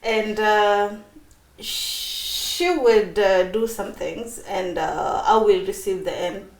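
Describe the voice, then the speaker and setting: A woman's voice: medium pitch, slow, gravelly, reverberant. A woman in her thirties with a Kenyan accent, telling her personal story as an interview guest in a bubbly, chatty way. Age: 30s. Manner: bubbly, chatty, with many fillers and laughs.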